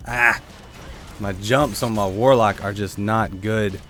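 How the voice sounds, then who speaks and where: A man giving casual gameplay commentary while playing a shooter, with a congested throat he keeps clearing, chatting to viewers and reacting aloud. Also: lowish voice, steady tone, slow, fairly bright tone, soft background music. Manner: chatty, reacts aloud to the game, answers chat. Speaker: gaming YouTuber.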